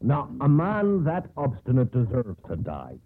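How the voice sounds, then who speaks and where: Casting: hearty, scornful ship's officer, very animated mid-pitched voice, thin vintage radio sound. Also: male voice, unhurried. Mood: blunt. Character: scoffing, jovial sailing-ship first mate. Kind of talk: 1950s radio drama acting. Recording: muffled, hissy 1950s radio broadcast.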